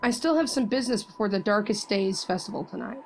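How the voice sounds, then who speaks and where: A woman playing a brisk, battle-hardened royal bodyguard in an audio drama, with a dry, no-nonsense manner. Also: medium pitch, lively intonation, darkish tone, slight background noise, natural voice.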